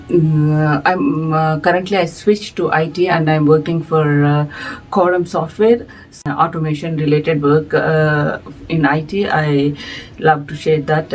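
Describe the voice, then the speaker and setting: A woman's voice: low-pitched, darkish tone, low-quality recording. A middle-aged woman from India whose first language is Telugu, answering interview questions in a thoughtful, hesitant way with many fillers. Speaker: guest, music society director.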